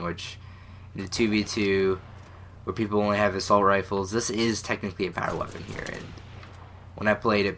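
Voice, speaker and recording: A man's voice: on the low side, sharp tone, a little hiss or hum. A young man with a stuffy, congested voice, casually and self-deprecatingly commenting on his own old game maps, full of fillers and restarts. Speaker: gaming channel host.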